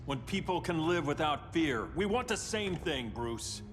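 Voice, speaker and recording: In a reverberant hall with music behind, a powerful male superhero gone ruthless. The voice is fairly high for a man and swings widely in pitch. He is commanding, bitter and righteous, as in a dramatic video game cutscene. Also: lively intonation, a little slow. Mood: bitter conviction. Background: cinematic music.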